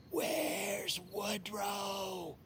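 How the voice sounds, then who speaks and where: Slurring, gravelly drunk in self-pity. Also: male voice, sits high, fairly bright tone, slight background noise, full character voice. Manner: slurred, rambling drunken delivery. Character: drunk, self-pitying, rambling political man at a party. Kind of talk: audio fiction comedy drama acting.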